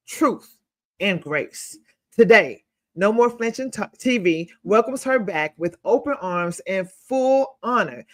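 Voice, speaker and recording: A woman hosting a talk show, reading out guest introductions with heavy emphasis and dramatic pauses. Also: mid-range voice. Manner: emphatic, pausing between words. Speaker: host.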